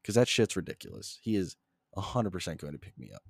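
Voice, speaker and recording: A young man hosting a solo podcast, rambling in a flat monotone, swearing constantly and jumping between topics, close to the mic. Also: low-pitched, quick pace, vocal fry, breathy edge, no echo. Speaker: podcast host.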